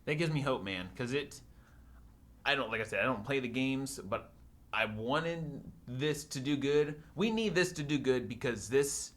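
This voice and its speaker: A man of average pitch speaks as a podcast panelist. His intonation swings widely and livens up his casual, opinionated chat about films. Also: lively intonation, unhurried, fairly bright tone.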